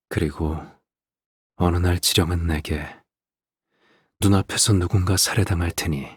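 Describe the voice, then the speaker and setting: Game role: an eerily calm, possessive father; low, very breathy husky voice, lingering pauses. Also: dry recording, studio quality, heavily acted. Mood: detached, hushed. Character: quiet, possessive, hollow-hearted father of a daughter. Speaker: game character voice. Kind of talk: dramatic game voice acting, slow monologue.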